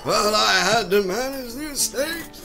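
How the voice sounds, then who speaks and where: A man playing a frail, genteel old patriarch in a comedic horror audio drama, speaking haltingly. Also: sits high, expressive, gravelly, over loud music, exaggerated voice. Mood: welcoming, halting. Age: older. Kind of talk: comedic horror radio-play acting.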